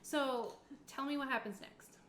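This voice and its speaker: Woman game master, giggly and informal, higher register, echoey and noisy room recording. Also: a little slow, a bit bright. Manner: giggly, uses playful sound effects. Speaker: game master of a tabletop roleplaying game. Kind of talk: casual improvised game narration. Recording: echoey room with clear background hiss.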